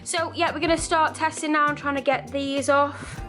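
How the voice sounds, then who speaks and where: A woman vlogging casually about renovating her living room, chatty and rambling, her voice croaky from dust. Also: high-pitched, level tone, normal speed, pushed and bright, faint music behind. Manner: chatty, rambling, laughs often. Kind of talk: casual chatty vlog to camera.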